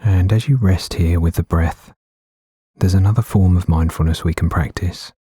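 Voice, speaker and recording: A man gently guiding a meditation in a soothing, unhurried way with long pauses between phrases. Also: low-pitched, husky, no echo, studio quality. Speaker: meditation guide. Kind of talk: guided meditation narration. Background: background music.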